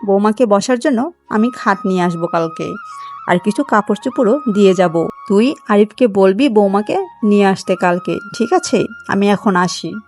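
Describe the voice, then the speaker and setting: A woman's voice: mid-range voice, expressive, moderate pace, darkish tone, soft background music, close to an everyday voice. A woman voicing a bossy but kind elderly village auntie in a cartoon, insistent and scolding. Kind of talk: scripted moral-story cartoon dialogue.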